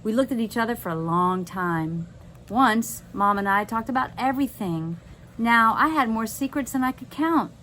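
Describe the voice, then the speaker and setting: A woman reading a children's novel aloud with expressive, dramatic narration. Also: a little high, moderate pace, slight background noise.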